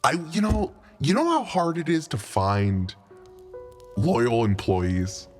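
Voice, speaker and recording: A man acts out an undead lich who runs his lair like an office. He is chatty, evasive and falsely friendly, with a very lively pitch that swoops upward as he stammers through his excuses. Light music plays underneath this tabletop game. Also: slow pace. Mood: indignant, incredulous. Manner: rambling, stammering, repeats himself. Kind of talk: improvised tabletop roleplay.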